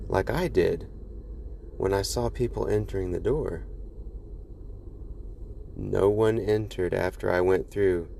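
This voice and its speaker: A man reading creepy stories as a podcast host, in scripted narration with measured, deliberate phrasing and dramatic pauses. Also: deep voice, gravelly, dark tone, slight background noise. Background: faint music.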